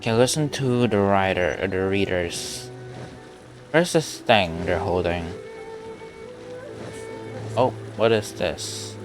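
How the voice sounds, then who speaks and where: A man's voice: deep voice, animated, slow pace, long pauses, music in the background. A man giving casual livestream game commentary in brief, musing asides.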